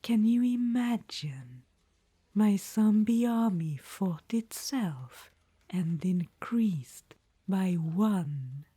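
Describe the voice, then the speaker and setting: An imperious undead witch-queen, whispered by a woman in an ASMR audio roleplay. The whisper is slow, dark and airy, pressed close to a dry mic. She fusses over her listener as darling between boasts. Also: medium pitch, expressive, slightly rough, no room sound, full character voice. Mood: fond. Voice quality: whispered throughout. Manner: fond, chatty, calls listener darling.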